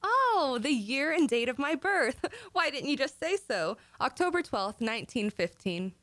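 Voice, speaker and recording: A woman's voice: high voice, very animated, measured pace, a bit bright, no room sound, full character voice. A naive housewife acted by a woman in an old-time radio comedy. She is chatty and earnestly muddled, with a scatterbrained innocence, recorded dry and close. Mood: innocent, chatty. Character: naive, scatterbrained, chirpy young-ish housewife who garbles words.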